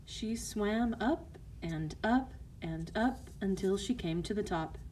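A woman's voice: medium pitch, takes it slowly, warm tone, slight background noise, poor audio. A woman narrating a scripted fairy tale for children as a storyteller.

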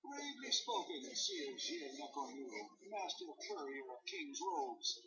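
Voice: medium pitch, very animated, unhurried, lo-fi sound, a crowd in the background. A narrator voicing a mischievous young medieval student in a teasing, mock-grand manner for an audiobook.

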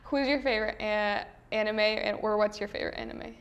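A woman's voice: mid-range voice, fairly even intonation, brisk, gravelly, a bit bright, lo-fi sound, a little hiss or hum. A young woman hosting a show and asking casual interview questions. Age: young adult. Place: indoor room, on a couch or chair.

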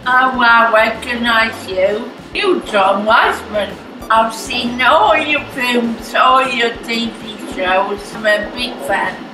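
A woman's voice: on the high side, slow pace. A woman playing an effusive, easily offended admirer in an amateur radio play, recorded at a distance. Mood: starstruck. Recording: roomy, distant voice under loud music.

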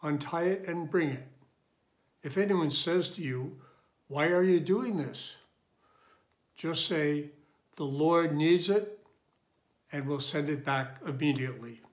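A man in his sixties reading scripture in a measured, deliberate way, recorded in a room at home. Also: a little high. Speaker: lay scripture reader.

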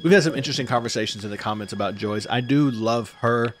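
A man's voice: medium pitch, quick pace, noisy background. A man in his forties giving casual commentary on an episode as a show host, close to a desk microphone. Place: small indoor room.

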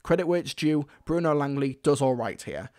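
A man's voice: fairly high pitch, a little fast, darkish tone. A young man giving a scripted but conversational, opinionated review commentary. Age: young adult. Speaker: video reviewer.

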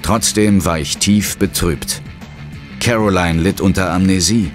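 A low voice over clearly audible music, a serious ghost hunter in a horror radio play. He recounts events in a brooding, grave and dramatic way. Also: a little slow. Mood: somber. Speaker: first-person narrator and hero. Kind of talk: scripted horror audio drama narration.

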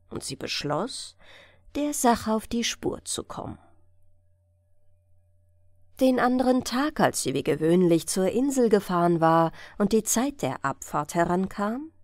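A woman narrating a bedtime fairy tale audiobook in a gentle, expressive storytelling manner. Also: mid-range voice, no echo, studio quality.

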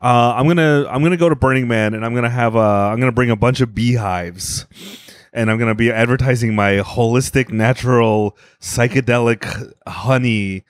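A man co-hosting a podcast, trading crude jokes in a flat, deadpan way. His voice sits in a middle register and leaps up when he mimics people. The sound is dry and close with no room echo. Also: normal speed. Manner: crude deadpan joking, swings up high for mock voices. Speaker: podcast co-host. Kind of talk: casual comedic chat.

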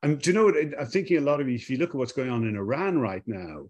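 A man's voice: medium pitch, expressive, fast, darkish tone. A middle-aged Irish man, a documentary director, answering reflectively and repeating words as he searches for them, on a video call from a home room with bookshelves. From Ireland. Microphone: video call webcam.

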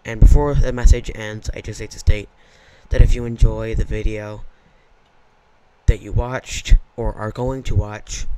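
Smooth, clear-voiced man, hesitant and rambling. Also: medium pitch, warm tone, poor audio. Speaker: video creator. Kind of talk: casual spoken channel intro.

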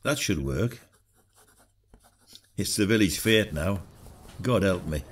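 A man's voice: deep voice, animated, slow, slight background noise. An actor playing a reluctant, wry retired village detective, an older man with an understated manner, in a podcast drama. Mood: weary, reflective. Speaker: lead actor.

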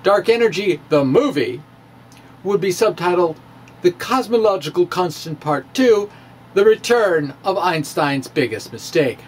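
A middle-aged man presenting a science monologue as a dramatic reading with casual asides, emphatic and theatrical, with halting pauses. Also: high-pitched, expressive, husky. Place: small indoor room with bookshelves. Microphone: handheld-style microphone on stand close in front.

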